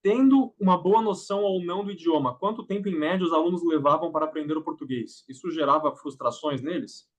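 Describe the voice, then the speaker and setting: Brisk man moderator reading out questions. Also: on the high side. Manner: brisk, reads questions aloud.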